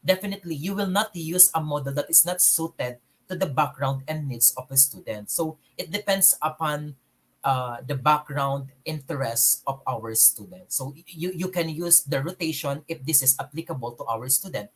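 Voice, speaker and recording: A man's voice: on the high side, moderate pace, fairly bright tone. A man, a guest speaker, answering questions at an online seminar over a video call, often restarting and repeating phrases.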